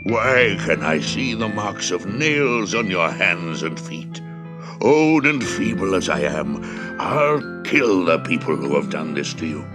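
A gentle, lonely giant played by a male narrator speaks in a very low, gravelly voice. He is slow and heavy with sighs, like an aging storybook character, with music underneath. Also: sing-song, exaggerated voice. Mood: pained anger. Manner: slow, heavy, sighing delivery. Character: gentle, remorseful giant, lonely, growing old and feeble. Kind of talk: dramatized children's storybook reading.

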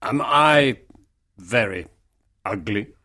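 Man acting slow, solemn storybook beast. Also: mid-range voice, expressive, pushed and bright, slightly rough, full character voice. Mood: courteous, tentative. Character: lonely, kind, ugly male beast, lord of a castle. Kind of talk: dramatised storybook reading for learners.

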